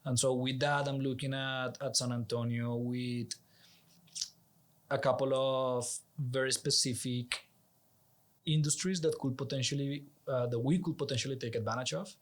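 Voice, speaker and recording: A man's voice: mid-range voice, steady tone, normal speed. A man from Colombia, a native Spanish speaker with a Colombian accent, talking as an interview guest in an earnest, persuasive, conversational way. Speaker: interview guest, executive director. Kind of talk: conversational explanation.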